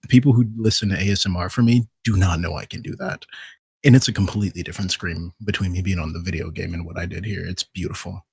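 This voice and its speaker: A man's voice: low-pitched, steady tone, quick pace, darkish tone, breathy edge, no echo. A man talking casually as a voice actor guest on a podcast panel. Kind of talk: casual chat.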